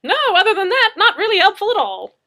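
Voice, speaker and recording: Woman putting on a high, flippant, teasing man. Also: big pitch swings, fast, full character voice. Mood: sarcastic, amused. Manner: loud, mocking lilt. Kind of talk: dramatic audiobook character reading.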